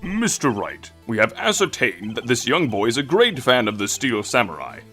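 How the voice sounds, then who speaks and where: A man's voice: mid-range voice, very animated, moderate pace, fairly bright tone, faint music behind. A man voicing a befuddled, solemn elderly judge in a video game fan dub, speaking in a pompous, formal way. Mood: authoritative.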